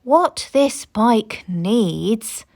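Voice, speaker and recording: A cheery, resourceful eccentric lady from a children's storybook, as a woman reader acts her out. She draws her words out slowly and decisively, with big swoops in pitch. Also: expressive, vocal fry, a little mellow, heavily acted. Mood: emphatic, resolved. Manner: slow, emphatic, drawn-out delivery. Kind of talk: children's storybook read-aloud.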